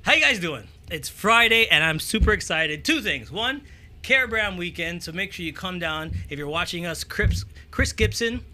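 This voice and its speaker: A man hosting a casual talk show interview, upbeat and chatty, often answering with a quick "okay". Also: high voice, lively intonation, pushed and bright.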